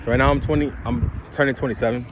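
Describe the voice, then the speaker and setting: A man's voice: mid-range voice, fairly even intonation, very fast, background noise. A man in his twenties talking off the cuff in a loose, rambling monologue, chuckling often and checking in with the listener. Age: 20s. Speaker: solo talker.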